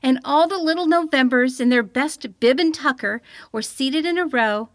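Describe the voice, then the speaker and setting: A woman reads a children's story aloud in a high voice. Her delivery is expressive and clearly scripted narration. The sound is dry, with very little room on it. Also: moderate pace, no room sound. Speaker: story reader.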